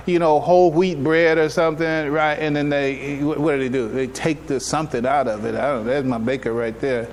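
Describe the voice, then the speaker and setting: A man giving a religious lecture as an imam, conversational and halting, repeating phrases and checking in with his listeners. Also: fairly high pitch, slight background noise. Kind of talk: religious lecture, explaining to an audience.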